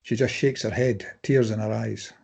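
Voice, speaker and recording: A man's voice: on the low side, fairly even intonation, moderate pace, creaky, a little mellow, slightly rough. A man reading stage directions as scripted narration for a drama table read.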